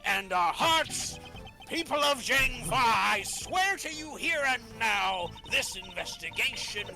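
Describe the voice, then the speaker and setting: Bright, creaky, grandiose president. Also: male voice, extremely high pitch, expressive, soft background music, heavily acted. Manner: florid, oratorical, drawn-out delivery. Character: pompous grandiose male president, bombastic politician. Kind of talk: fan dub of a video game. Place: hobby live-streamed voice session.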